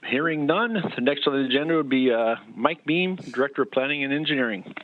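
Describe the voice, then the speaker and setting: Through a narrow, phone-like line, a man chairs a formal meeting. His voice sits at an average pitch, and he moves through procedure haltingly. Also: expressive, slight background noise. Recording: thin, phone-like conference line.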